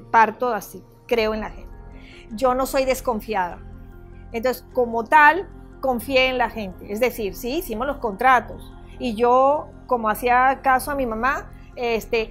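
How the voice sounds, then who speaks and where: High-voiced older woman giving halting testimony. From Venezuela. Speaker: property owner giving testimony. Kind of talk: personal testimony in an interview.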